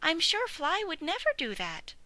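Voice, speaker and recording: A woman voicing a polite, eager young male piglet in a storybook, speaking in a high, bright, well-mannered way. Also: wildly swooping pitch, normal speed, no room sound, heavily acted. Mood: earnest. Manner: high, bright and polite, squeaky when upset. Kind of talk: storybook reading.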